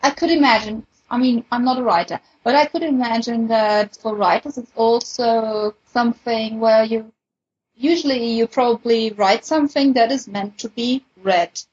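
A woman with a fairly high, husky voice chats reflectively in a casual panel. Her voice comes through slightly muffled and lo-fi. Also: moderate pace, darkish tone. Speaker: podcast panelist, audiobook-style fan fiction reader.

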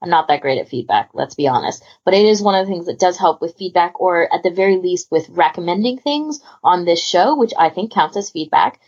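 A woman's voice: lowish voice, animated, fairly quick, few pauses, darkish tone, slightly rough, lo-fi sound. A woman hosting a podcast in casual chat, talking in a rambling, enthusiastic, informal way. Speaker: podcast host. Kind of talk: casual chat about hobby organisation.

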